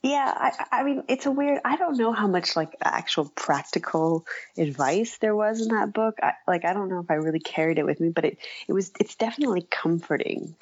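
A woman giving thoughtful, halting, conversational answers as an interview guest, with a dull lo-fi recording. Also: fairly low pitch, animated, husky, no room sound. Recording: dull, lo-fi, dry audio.

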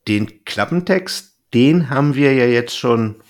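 A man's voice: medium pitch, lively intonation, slow pace. A man hosting a podcast, chatting casually and wryly as he reviews audio dramas. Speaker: podcast host.

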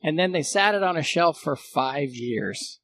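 A man's voice: a little high, expressive, a little slow, fairly bright tone. A man reminiscing casually as a guest in an informal conversation. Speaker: podcast guest, former radio producer.

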